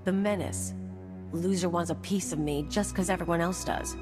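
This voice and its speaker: A woman's voice: on the low side, steady tone, moderate pace, natural voice. A woman playing a cynical, brash young mercenary in a video game, curt, dismissive and sardonic. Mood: confident, bored. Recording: long reverb, music behind.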